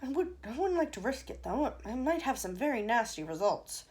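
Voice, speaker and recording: Woman playing an eccentric storybook chocolatier, fussy, stammering, lively. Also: on the high side, brisk. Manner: stammering, theatrical.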